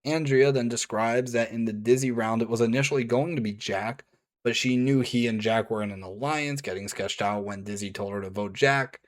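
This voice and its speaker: A man narrating a written review at an ordinary mid pitch, casual and chatty, with offhand asides between points. His voice sounds worn and slightly hoarse from long talking. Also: normal speed. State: voice strained and hoarse. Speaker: video reviewer.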